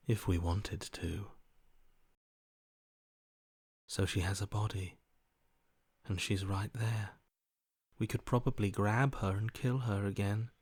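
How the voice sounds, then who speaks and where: A cold, slow, menacing voice. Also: male voice, lowish voice, breathy edge, dry recording, studio quality. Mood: detached, predatory. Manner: deadpan, deliberate, hushed. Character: cold, detached, menacing inner voice, calmly violent. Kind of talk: video game character acting.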